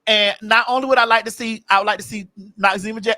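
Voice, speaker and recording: A young adult man hosting a raunchy casual chat, loud, brash and theatrical, sometimes breaking into song. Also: high voice, steady tone, fast. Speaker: podcast host.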